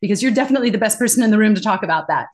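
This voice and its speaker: A woman of middle pitch speaks as an interview guest, explaining her ideas with animated emphasis. She laughs easily and often as she talks. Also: very fast. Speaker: interview guest, corporate communication trainer. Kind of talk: conversational interview.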